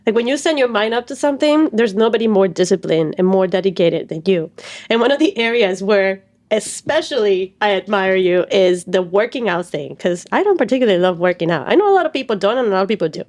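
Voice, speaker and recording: Woman guest from Cuba, 30s, mid-pitched, earnest storyteller. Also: lively intonation, fast talker, darkish tone. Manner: earnest, explains at length with fillers. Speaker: stress and anxiety coach, guest. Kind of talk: reflective interview talk.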